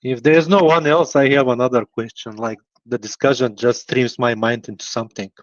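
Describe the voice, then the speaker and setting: A man's voice: mid-range voice, fairly even intonation, moderate pace, no echo. A man from Bulgaria, asking a long thoughtful question on a video call and searching for words. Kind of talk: casual video call talk. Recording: dry, dull lo-fi video call audio.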